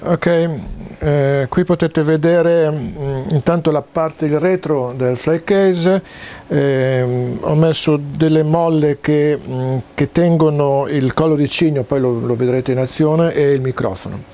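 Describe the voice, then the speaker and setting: A man gives an informal, halting technical explanation to camera, pausing often as he searches for words. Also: fairly high pitch, steady tone, mellow. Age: 60s. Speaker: presenter demonstrating home-built audio and video gear. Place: small cluttered home study, indoors.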